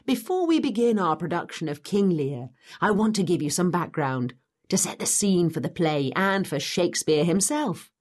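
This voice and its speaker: Animated teacher-guide, lowish female voice, very varied intonation, chatty, explanatory, educational audio drama. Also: normal speed. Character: lively, knowledgeable time-travelling teacher guiding a student. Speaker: narrator and guide.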